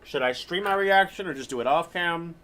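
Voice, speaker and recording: A man's voice: fairly high pitch, brisk, pushed and bright, slight background noise. A man chatting casually to his livestream audience while playing a game. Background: game audio.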